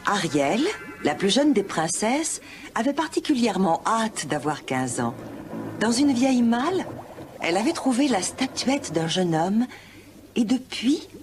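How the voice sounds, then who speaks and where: Expressive woman narrating a fairy tale. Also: medium pitch. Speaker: storyteller narrator.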